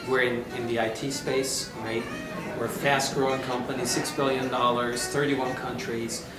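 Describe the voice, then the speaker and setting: A man from Austria speaks as an interview guest, explaining things emphatically and checking for agreement. He is somewhat off the mic in an echoey space. Loud music plays behind his voice. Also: medium pitch, flat delivery, moderate pace, far from the mic. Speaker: interview guest, corporate marketing executive. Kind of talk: conversational interview. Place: conference venue.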